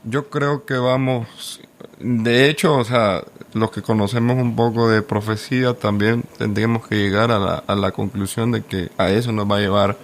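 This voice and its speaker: A man's voice: fairly low pitch, fairly even intonation, normal speed, a little hiss or hum. Earnest guy from el salvador on a church panel, explains stuff, lots of restarts. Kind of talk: casual discussion.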